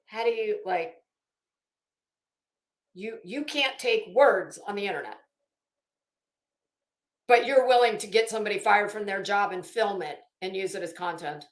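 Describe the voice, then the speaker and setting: A woman ranting casually as an online host, blunt and sarcastic, swearing freely and halting to stretch words for emphasis. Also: mid-range voice. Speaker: YouTube host. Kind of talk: casual livestream rant. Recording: echoey room.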